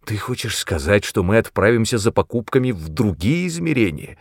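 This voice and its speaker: A man voicing an eager young male magician's apprentice in an audiobook, excitable, earnest and quick to protest. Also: mid-range voice, no room sound, clean studio sound, pitched above the performer's own voice.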